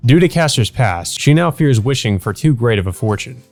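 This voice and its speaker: Animated man reading a visual novel aloud. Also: medium pitch, normal speed. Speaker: let's play narrator. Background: background music.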